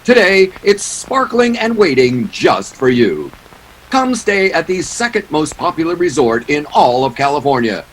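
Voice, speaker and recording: Man as high-pitched, lively 1940s radio announcer. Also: unhurried, a little hiss or hum, low-quality recording. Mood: upbeat, selling. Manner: showy, salesman-like delivery. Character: polished, showy male 1940s radio announcer.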